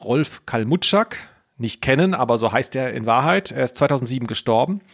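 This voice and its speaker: A man's voice: medium pitch, expressive, a little fast, creaky, fairly bright tone. A man co-hosting a podcast, chatting casually in a wry, joking way. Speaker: podcast co-host. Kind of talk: casual chat about audio dramas.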